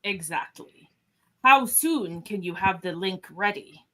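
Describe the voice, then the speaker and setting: A starship captain, acted by a woman in a sci-fi radio play. The voice sits at a middle pitch and moves slowly and deliberately. The manner is composed, probing and commanding. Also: lively intonation, fairly bright tone. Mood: measured, commanding. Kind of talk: podcast live script reading.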